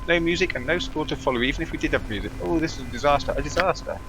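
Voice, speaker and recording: A man reading out a flustered theatre manager in a fantasy game, worried and dramatic, repeating himself as he laments a ruined play. Game music is loud behind him. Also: on the high side, rapid-fire, close to an everyday voice, low-quality recording. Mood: worried, lamenting.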